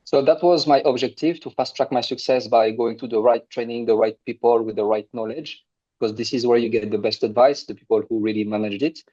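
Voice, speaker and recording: Earnest French-accented male guest, mellow tone, slightly muffled lo-fi sound. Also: mid-range voice, steady tone. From France. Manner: earnest, halting with restarts. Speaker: guest, agency owner. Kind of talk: casual interview.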